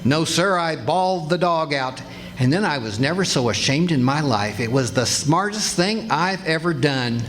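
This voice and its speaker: A man's voice: fairly high pitch, expressive, moderate pace, fairly bright tone. A man playing a blustery, boastful, folksy husband who tells tall tales in an old-time radio comedy. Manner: folksy, grumbling, exclamatory. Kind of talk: 1940s radio comedy acting before a live audience. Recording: noisy live stage recording with clicks.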